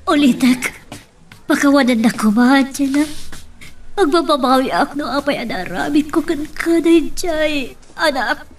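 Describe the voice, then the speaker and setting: An actress playing a remorseful mother in an Ilocano radio drama, pleading haltingly in an Ilocano accent. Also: high voice, far from the mic, faint music behind.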